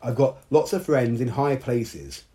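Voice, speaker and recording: A man's voice: medium pitch, big pitch swings, measured pace, warm tone, voice pushed up. A man voicing a cheeky, boastful male talking duck in a storybook reading. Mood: blustering. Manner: blustering, boastful.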